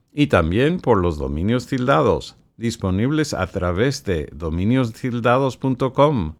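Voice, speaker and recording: A man whose first language is English, hosting his own podcast in a measured, announcer-like way with sponsor reads. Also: on the low side. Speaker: podcast host and director.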